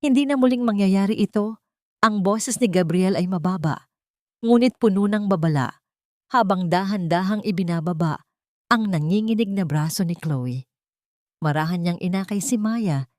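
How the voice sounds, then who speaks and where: A woman narrating a scripted story in a solemn, deliberate, dramatic manner. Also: lowish voice, dry recording, studio quality.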